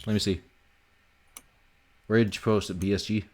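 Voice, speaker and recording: A man's voice: fairly low pitch, level tone, moderate pace. A man hosting a casual development livestream, joking and swearing, sometimes muttering to himself as he works. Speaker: livestream host and game developer. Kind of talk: casual chat while working on a game. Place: online voice call during a development stream.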